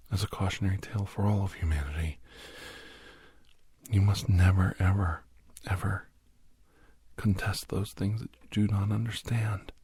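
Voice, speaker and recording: A man tells a horror story in breathy ASMR whispers, solemn, dramatic and deliberate, close to the microphone. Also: low voice, warm tone, dry recording. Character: male doctor solemnly recounting a werewolf horror tale.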